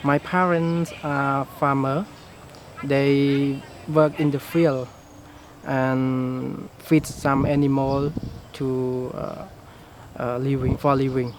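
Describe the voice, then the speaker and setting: A man's voice: mid-range voice, flat delivery, slow, darkish tone, slight background noise. A man in his twenties with a Khmer accent, hesitant and full of fillers in a documentary interview. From Cambodia. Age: 20s. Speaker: former student interviewee.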